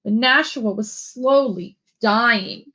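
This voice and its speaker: A woman's voice: fairly high pitch, slow, a bit bright, rough recording. Woman teacher reading a story aloud. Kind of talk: read-aloud storytelling and simple instructions for children.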